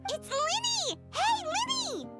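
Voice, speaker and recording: A woman voicing a tiny childlike fairy companion in a video game, squeaky, innocent and emotive. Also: very animated, takes it slowly, pushed and bright, exaggerated voice. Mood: excited. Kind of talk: scripted video game drama. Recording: prominent music over the voice.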